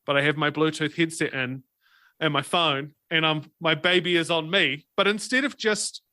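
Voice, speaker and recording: A man talks casually as a guest on a podcast, spinning anecdotes. His voice sits a little high and has a bright, crisp edge. The sound is dry, with no room on it. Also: steady tone, normal speed, dry recording.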